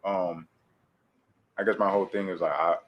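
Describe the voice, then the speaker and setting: A man in his late twenties with a naturally low voice, talking casually and a bit hesitantly as an interview guest. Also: fairly even intonation, moderate pace, lo-fi sound. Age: late 20s. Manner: laid-back, hesitant, many fillers and restarts. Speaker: guest rapper.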